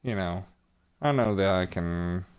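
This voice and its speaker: A man streaming a wrestling video game, talking in a monotonous, hesitant way with many pauses and fillers. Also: deep voice, clear, resonant tone. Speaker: gaming streamer. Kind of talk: casual game commentary.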